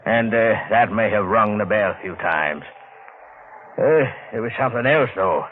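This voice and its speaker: Casting: male actor for plain-spoken salvage diver, lowish measured voice, tinny radio with heavy noise. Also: close to an everyday voice. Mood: matter-of-fact. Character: matter-of-fact salvage diver reporting to his boss. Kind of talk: old-time radio drama acting. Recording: tinny, noisy vintage radio recording.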